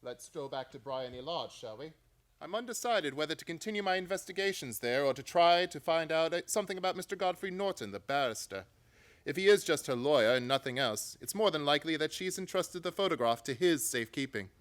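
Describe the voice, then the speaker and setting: An actor playing a shrewd, commanding gentleman detective with a precise manner in a radio play. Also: on the high side, lively intonation, brisk. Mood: measured, shrewd. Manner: precise, decisive, authoritative.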